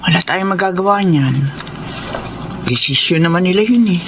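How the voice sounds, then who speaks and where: A woman's voice: on the low side, lively intonation, normal speed, lightly acted. Woman acting caring schoolteacher mother, noisy background. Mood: worried. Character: caring middle-aged schoolteacher and mother, concerned, sensible. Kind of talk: Filipino radio drama acting. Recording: clear background noise, vehicle sounds.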